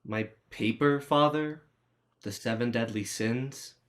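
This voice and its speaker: A man's voice: medium pitch, takes it slowly, natural voice. A man playing a defiant, sarcastic teenage schoolboy with a cheeky, melodramatic manner in a play reading. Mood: protesting. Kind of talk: dramatized play script reading.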